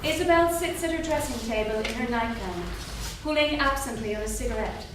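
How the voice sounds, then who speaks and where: A woman with a fairly high voice narrates a script in a theatre. The recording is distant and very echoey, with clear background noise. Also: fairly quick. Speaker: stage-directions reader. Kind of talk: scripted narration at a staged reading. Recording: very echoey, noisy hall from far off.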